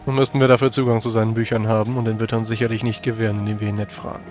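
A man speaking in character in a tabletop role-playing game, with formal, measured phrasing. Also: lowish voice, flat delivery, darkish tone, faint music behind. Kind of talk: in-character improvised game dialogue.

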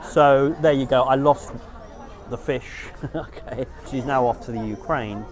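A man's voice: mid-range voice, a little slow. A middle-aged man telling a dating story straight to camera outdoors, rambling and frank, often restarting his sentences. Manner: rambling, frank, self-correcting. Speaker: dating vlogger. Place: outdoors beside a garden hedge in town.

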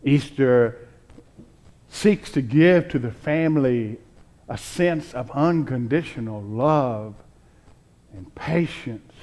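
An American man in his sixties preaching a sermon as a pastor, deliberately, in short phrases with frequent pauses. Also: mid-range voice, darkish tone. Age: 60s. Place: church sanctuary, at a pulpit before a choir.

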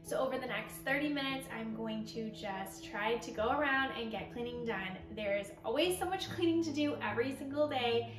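A woman's voice: fairly high pitch, moderate pace. A young woman giving a casual, chatty voice-over as a home vlogger, talking directly to her viewers. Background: background music.

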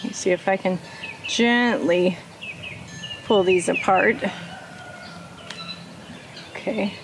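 A middle-aged woman talking casually as she plants in an outdoor garden. Her pitch sits in the middle, and steady outdoor noise runs behind her through the camera mic. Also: slow. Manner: chatty, talks while working. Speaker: homestead vlogger.

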